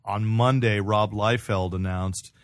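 Low-voiced man chatting about news. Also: steady tone, measured pace. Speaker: podcast host. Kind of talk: casual comic book news chat.

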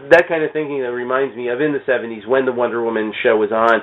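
A man chatting casually about comics in a halting way, repeating words and restarting his sentences. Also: medium pitch, fairly even intonation. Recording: thin, band-limited phone-like radio sound.

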